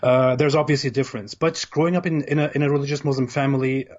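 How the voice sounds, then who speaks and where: A man's voice: mid-range voice, steady tone, fast, darkish tone, dull audio. A man from Germany with a German accent, a guest talking over a video call, laughing easily and often repeating words as he starts a sentence.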